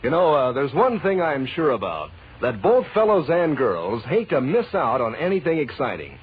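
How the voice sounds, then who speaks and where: Animated American male radio announcer, tinny recording. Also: mid-range voice, normal speed, husky. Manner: enthusiastic, folksy salesmanship. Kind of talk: 1940s radio advertising pitch.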